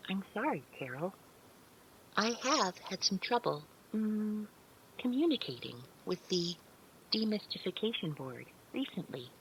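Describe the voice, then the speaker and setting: A woman's voice: medium pitch, very animated, unhurried, fairly bright tone, slight background noise, low-quality recording. An actress playing a meek, naive, otherworldly female servant in an audio drama, speaking in careful, stilted, deferential phrases with hesitant hums. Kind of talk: scripted audio drama roleplay.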